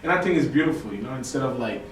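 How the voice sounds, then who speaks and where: A young man from Haiti, a poet and writer, talking casually as an interview guest, relaxed and full of fillers. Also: medium pitch, racing. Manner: relaxed, chatty, many fillers and "you know". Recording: echoey room, mic far off, noisy.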